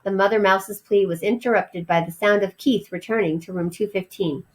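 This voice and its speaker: A woman's voice: mid-range voice, fairly even intonation, moderate pace, low-quality recording. A woman reading a children's storybook aloud as narrator, explaining things as if to young listeners.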